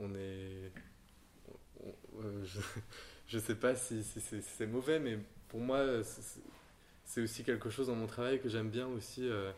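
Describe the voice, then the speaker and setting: A man speaks about his artwork with a fairly low voice, halting and soft, repeating small words as he gathers his ideas. Faint noise sits under the recording. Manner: soft-spoken, halting, frequent fillers. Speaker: artist. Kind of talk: casual conversation.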